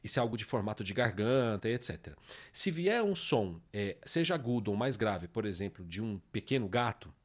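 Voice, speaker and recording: A man's voice: fairly low pitch, expressive, brisk. A man with a Brazilian accent explains step by step as a tutorial presenter, informally, often adding little checking tags after his statements. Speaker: tutorial presenter, music production teacher.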